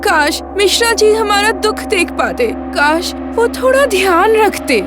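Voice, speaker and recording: Plaintive, very high-pitched talking biscuit carton. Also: female voice, expressive, a little slow, noisy background. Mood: wistful. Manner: plaintive, melodramatic complaining. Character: distraught female biscuit carton, fragile and plaintive. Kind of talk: acted dialogue in a training film. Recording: music behind the voice.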